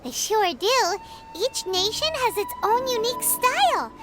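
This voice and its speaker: A tiny floating fairy girl chirps away in a very high, squeaky voice. Her pitch bounces all over as she chatters eagerly about herself in the third person. Loud game music plays underneath. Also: sing-song, measured pace, exaggerated voice. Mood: chatty. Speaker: companion character. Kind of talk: video game voice acting. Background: loud background music.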